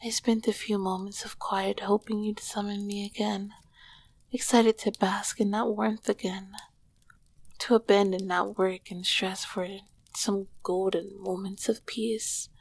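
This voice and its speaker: A woman voicing an ancient, lonely and affectionate succubus queen in an intimate ASMR roleplay, breathy and often slipping into a whisper, with doting, eloquent phrasing. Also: medium pitch, flat delivery, a little slow. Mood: tender.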